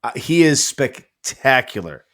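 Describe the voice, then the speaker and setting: A man's voice: medium pitch, very animated, slow pace, a bit bright. A man guesting on a podcast, chatting casually about movies, swearing freely and laughing at his own jokes.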